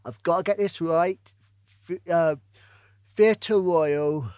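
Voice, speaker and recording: Higher-pitched man hosting a casual podcast. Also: slow pace, darkish tone, clean tone, dry recording, clean studio sound. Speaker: podcast host, interviewer.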